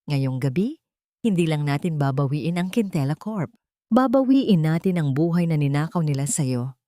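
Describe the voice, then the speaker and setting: Devoted gentleman lawyer read by a woman narrator, steady, gentle, solemn, dry close sound, audio drama. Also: sits low, moderate pace, dry recording. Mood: tender, determined. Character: calm, devoted male attorney.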